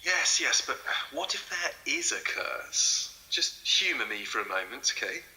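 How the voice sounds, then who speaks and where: Bright-voiced, polite investigator. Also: male voice, medium pitch, sing-song, unhurried, heavily acted, rough recording. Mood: probing. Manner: polite, hesitant, probing. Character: polite, curious, hesitant male investigator. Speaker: interviewer researching a legend. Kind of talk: audio drama acting, naturalistic interview.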